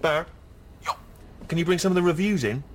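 A man's voice: fairly high pitch, quick pace, noisy background, voice pushed up, natural voice. An actor with a West Country English accent playing an offhand, evasive and clueless male talent agent. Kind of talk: sitcom acting.

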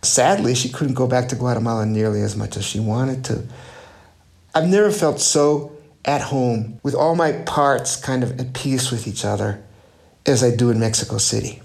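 A man, an author, answering interview questions thoughtfully, with frequent "you know" and "right?" and halting restarts. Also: medium pitch, creaky, a little mellow. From United States. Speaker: author guest.

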